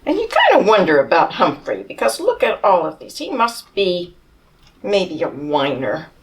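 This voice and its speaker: A woman reading a picture book aloud to children, gently explaining the pictures and asking them questions. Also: lowish voice, wildly swooping pitch, moderate pace, recorded from a distance, poor audio.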